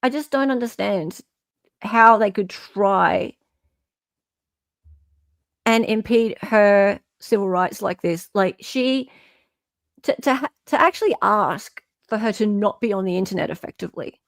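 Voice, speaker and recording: A woman's voice: mid-range voice, unhurried, creaky, long pauses, no echo. An Australian woman hosting an online show, reading a legal filing aloud with emphatic, incredulous off-the-cuff commentary.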